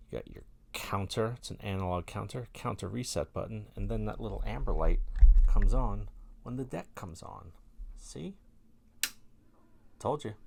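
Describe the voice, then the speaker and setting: A man's voice: fairly low pitch, creaky. A man casually demonstrating gear as host, rambling and jokey in a colloquial, self-mocking way. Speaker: host of a vintage gear video channel.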